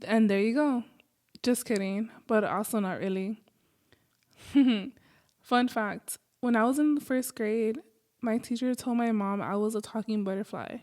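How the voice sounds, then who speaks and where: Female podcast host, American, slightly high pitch, informal and easygoing, casual monologue delivery. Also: unhurried, darkish tone. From Los Angeles, United States.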